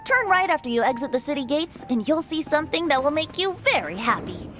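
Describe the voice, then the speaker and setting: A boyish young bard from a video game, breezy and teasing. The voice is high and theatrical, lilting up and down over background music. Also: female voice, sing-song, a little fast, a bit bright. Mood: playful, cheerful. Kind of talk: video game character acting.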